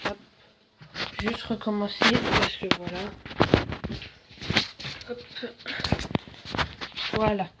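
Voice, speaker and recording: A man's voice: sits high, steady tone, drawn-out words, long pauses, heavy background noise. A man with a bad head cold giving casual, mumbling commentary over gameplay, full of slang and fillers.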